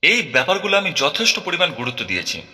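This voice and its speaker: Shrewd, self-assured male detective, played by a man, audio drama; slightly high, deliberate, in a long reverb. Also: very animated, sharp tone, exaggerated voice. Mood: serious, intent. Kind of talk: Bengali audio drama acting.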